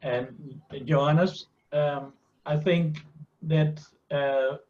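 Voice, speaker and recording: A man's voice: a little high, steady tone, extremely slow, a little mellow. Man, teachers' association rep, earnest, dull lo-fi audio. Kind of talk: video meeting discussion.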